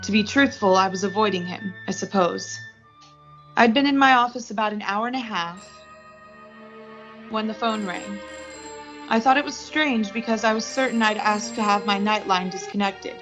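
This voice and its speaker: A woman's voice: medium pitch, moderate pace, a bit bright, lightly acted. A young woman playing an anxious, lovestruck office worker in a 1940s-style suspense radio drama, dramatic and pleading. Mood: tense recounting. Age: young adult. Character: anxious lovestruck female office worker narrating her ordeal. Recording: dull lo-fi audio, music behind.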